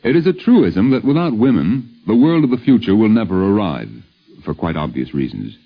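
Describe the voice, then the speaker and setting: A man reading a scripted radio documentary in a measured, wry, dramatic manner. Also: fairly low pitch, big pitch swings, vocal fry. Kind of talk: scripted narration, 1950s radio. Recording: muffled 1950s radio broadcast with music.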